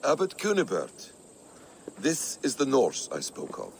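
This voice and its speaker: Shrewd, gravelly-voiced warrior-scholar. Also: male voice, mid-range voice, huge pitch swings, a little hiss or hum, full character voice. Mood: assured. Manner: measured, sardonic, probing. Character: wry, shrewd, brooding male warrior-scholar, a sly schemer. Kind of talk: video game cutscene acting.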